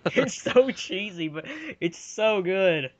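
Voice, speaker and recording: A man's voice: high-pitched, expressive, slow. A young man hosting a casual fan movie commentary, rambling and giving his opinions in an offhand chat. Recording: slightly dull lo-fi home recording.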